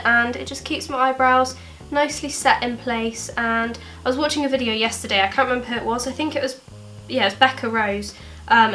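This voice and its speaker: Young woman, bright voice, a bit high, stuffy from a cold, chatty tutorial vlog. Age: young adult. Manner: chatty, friendly, many ums. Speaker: beauty vlogger. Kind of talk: makeup tutorial. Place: bedroom desk indoors.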